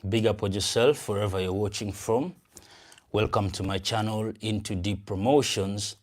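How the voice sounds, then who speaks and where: A man's voice: fairly low pitch, fairly even intonation, normal speed. A young man with a Ugandan English accent, hosting a casual livestream, greeting viewers and repeating phrases in an upbeat way. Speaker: channel host and event promoter.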